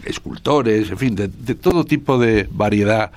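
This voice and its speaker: Older Spanish man, low and dry. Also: a little slow, no room sound. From Spain. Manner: thoughtful, hesitant, many restarts. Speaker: guest author. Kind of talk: radio interview.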